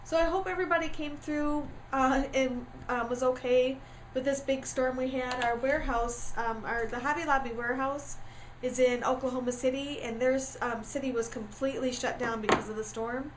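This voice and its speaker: Chatty lady w a stuffy cold voice, kinda high, explaining crafts, faint room hum. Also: steady tone, normal speed, low-quality recording. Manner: chatty with frequent ums and uhs. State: congested with a starting cold. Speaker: craft tutorial host. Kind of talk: casual craft tutorial. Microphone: camera mic.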